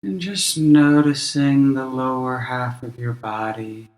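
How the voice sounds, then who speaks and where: A man leading a guided meditation as a teacher, speaking gently and in a measured, instructional way with long pauses. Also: mid-range voice, fairly even intonation, roomy sound, low-quality recording.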